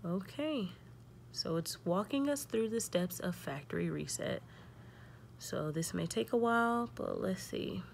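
A woman's voice: mid-range voice, animated, a little slow, gravelly, a little hiss or hum. A young woman hosting a casual step-by-step tech tutorial, thinking aloud and reading prompts in an informal way.

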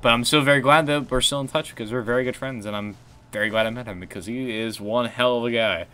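A young man with a deep voice chatting on a gaming stream, low-key and rambling with fillers and restarts. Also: fast talker, sharp tone, clean tone. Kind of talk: casual gaming stream chat.

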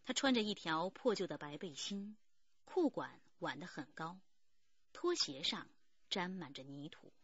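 A woman's voice: fairly low pitch, big pitch swings, a little slow, a bit bright, slightly rough, no echo. A woman reading scripted narration for a radio crime drama. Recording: dull audio with music bed.

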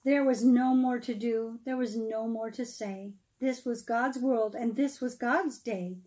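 High-voiced woman reading a children's storybook aloud. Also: fairly even intonation, warm tone. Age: middle-aged. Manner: dramatic, emphatic phrasing with pauses, explaining asides to children. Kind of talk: expressive children's storybook read-aloud in rhyme.

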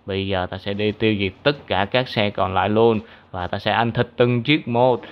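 Man doing a low, gloating toothy monster. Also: fairly even intonation, muffled recording, natural voice. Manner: gloating, drawn-out threats. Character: big blue long-tongued toothy monster, vengeful, cruel.

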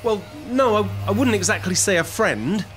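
Man acting a stammering, put-upon salon customer, very animated. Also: high voice, normal speed, faint music behind. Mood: defensive. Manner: hesitant, stammering, repeats words. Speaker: actor in an amateur audio play. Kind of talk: comedic radio-play acting.

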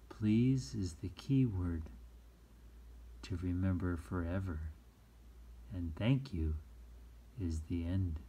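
A man who teaches, reading a children's book aloud and explaining it gently, acting out phrases expressively. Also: on the low side, slightly rough. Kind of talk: children's read-aloud with commentary.

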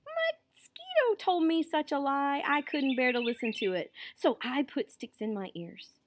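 Storybook iguana, woman acting, high, flustered, hesitant, defensive, protesting. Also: sing-song, measured pace, background noise.

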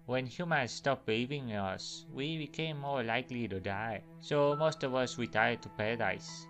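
A man's voice: medium pitch, normal speed, fairly bright tone, soft background music, lightly acted. Man playing a nonchalant, haughty war goddess. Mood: haughty, amused. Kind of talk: comic-book voice acting.

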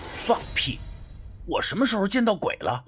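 A man's voice: high voice, big pitch swings, normal speed, a bit bright, slight background noise, pitched above the performer's own voice. A man playing an inquisitive, irritable, skeptical friend in an audio story, asking blunt, probing questions. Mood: indignant.

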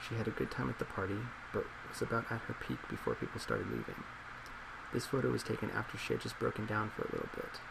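A man in his twenties speaking in a soft ASMR role play as a psychologist, hesitant, measured and reassuring, recorded in a small office. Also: fairly low pitch, big pitch swings, gravelly. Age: 20s. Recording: loud laptop fan noise.

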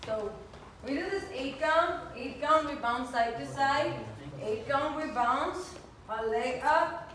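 Female dance teacher, high voice, unhurried counts and step cues, recorded at a distance with music. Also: a little hiss or hum. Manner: energetic, instructing in short calls. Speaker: dance instructor. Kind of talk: calling out dance steps and counts. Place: large indoor dance studio. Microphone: distant phone camera. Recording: echoey studio, far from phone, music.

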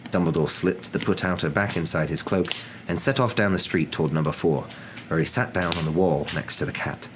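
A young man narrating a story in a soft, dramatic ASMR reading style. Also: deep voice, a little fast, noisy background. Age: young adult.

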